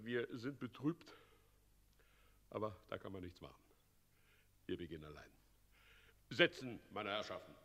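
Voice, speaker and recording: An actor playing a fawning, addled old town mayor with a pompous, ceremonious manner in a German radio play. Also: male voice, on the low side, expressive, a little slow, pauses often, sharp tone, heavily acted. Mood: fawning, muttering. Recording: long echoing hall reverb.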